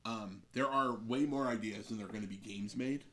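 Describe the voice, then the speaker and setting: A man's voice: lowish voice, a little fast, fairly bright tone. A man speaking as a game designer guest, explaining his craft in a casual conversational way.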